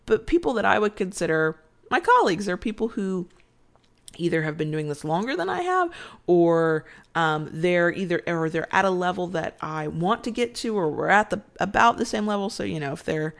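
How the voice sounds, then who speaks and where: A woman's voice: mid-range voice, vocal fry. A woman hosting a casual late-night podcast, stuffed up and nasal from a sinus cold, tired and chatty, rambling and often restarting her sentences. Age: adult with children. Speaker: podcast host.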